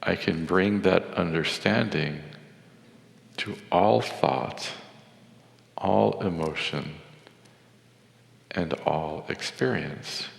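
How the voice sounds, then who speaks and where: A man leading a guided meditation in a measured, contemplative way, with deliberate pauses. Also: low-pitched, vocal fry. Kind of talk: guided meditation with Sanskrit chanting. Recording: echoey hall with long reverb.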